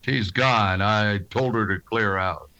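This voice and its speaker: A man playing a tough, reserved frontier marshal in a western radio drama, speaking in a terse, deliberate way. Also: lowish voice, sharp tone, dull audio, exaggerated voice. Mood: curt.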